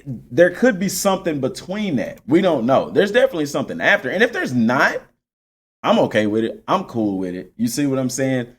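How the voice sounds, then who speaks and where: A man giving casual, unscripted gaming commentary, addressing viewers directly and repeating points to make them clear. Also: mid-range voice, very animated, a little fast. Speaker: video game channel host.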